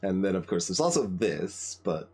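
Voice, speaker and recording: A man giving casual game commentary as a streamer, halting and thinking aloud as he chats with viewers. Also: deep voice, a little mellow, slightly rough. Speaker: livestreamer, game playthrough host.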